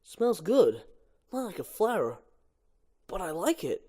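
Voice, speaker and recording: A man's voice: sits high, big pitch swings, measured pace, darkish tone, breathy edge, full character voice. A man voicing a teasing male cartoon bee with a casual, jockish manner. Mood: curious. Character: Splitz, teasing male pollen jock bee.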